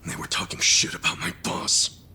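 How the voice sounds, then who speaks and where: An actor playing a young male yakuza inmate who is loyal and quick to anger, with a gruff way of talking, in a video game dub. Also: pushed and bright, in a whisper. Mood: defiant.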